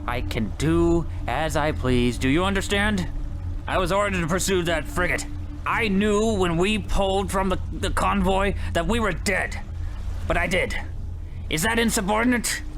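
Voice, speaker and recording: An actor playing a stern, weary navy captain in wartime, grave and brooding, snapping out orders. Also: male voice, high voice, normal speed, a bit bright, rough recording. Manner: grave, brooding, barks commands. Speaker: ship captain. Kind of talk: amateur radio drama. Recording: music underneath.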